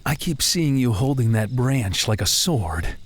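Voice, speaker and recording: A man's voice: medium pitch, expressive, measured pace, slightly rough. An actor playing a guarded, self-deprecating young American working-class man in an audio drama, with a slangy, laconic way of talking. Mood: amused. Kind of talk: naturalistic audio drama dialogue. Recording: music playing behind the voice.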